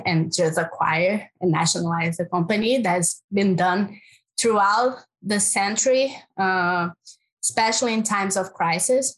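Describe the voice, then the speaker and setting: A woman speaks with a Brazilian accent, laying out her research as an interview guest. Her voice sits at a middle pitch, and she talks earnestly, pausing on fillers and restarting phrases as she thinks. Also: poor audio. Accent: Brazilian Portuguese. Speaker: climate policy researcher. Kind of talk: interview answer.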